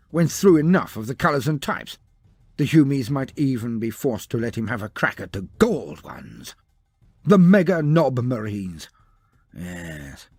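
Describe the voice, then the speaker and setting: A man's voice: medium pitch, darkish tone, slightly rough, dry recording. A man reading scripted fantasy narration as a storyteller, theatrical and emphatic, in short weighted phrases.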